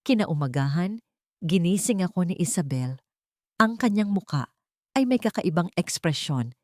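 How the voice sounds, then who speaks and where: A woman's voice: on the low side, expressive, moderate pace, a little mellow, dry recording, studio quality. A woman reading a dramatic first-person story in an emotive, sorrowful storytelling manner. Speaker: audio story narrator.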